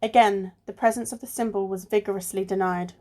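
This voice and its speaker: A woman playing a clever, level-headed amateur sleuth in an amateur radio play, with a measured delivery. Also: mid-range voice, steady tone, warm tone, natural voice. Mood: thoughtful. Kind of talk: amateur radio play acting, often reading articles aloud. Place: recorded alone at home.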